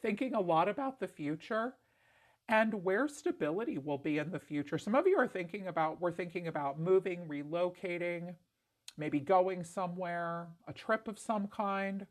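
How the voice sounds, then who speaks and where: Congested raspy woman reading tarot. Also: fairly low pitch, lively intonation, normal speed. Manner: chatty, many "like" fillers. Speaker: tarot reader. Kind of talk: casual tarot reading to camera.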